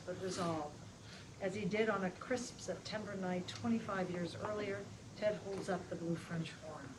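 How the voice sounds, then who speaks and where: A woman reading stage directions aloud as the scripted narrator of a table read. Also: on the low side. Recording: noisy live room ambience.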